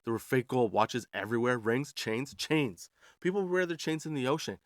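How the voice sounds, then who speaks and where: Male, 40s, mid-pitched voice, podcast host. Slangy, jokey storyteller on a dry, close mic. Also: expressive, moderate pace, no echo. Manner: chuckles often at his own jokes; slangy, ends remarks with "dude" and "right". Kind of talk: casual comedic storytelling monologue.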